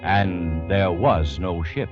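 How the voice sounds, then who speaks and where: A man's voice: low-pitched, takes it slowly. A man giving theatrical, literary narration as the storyteller of an old-time radio drama. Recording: dull vintage radio recording with music.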